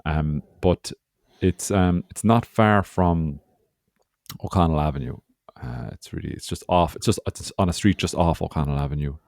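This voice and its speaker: A man's voice: extremely low pitch, normal speed, darkish tone, no echo, clean studio sound. An Irish man in his forties, co-hosting a podcast with rambling, sweary, joking chat, sounding hungover. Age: 40s. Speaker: podcast co-host. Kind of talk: casual banter.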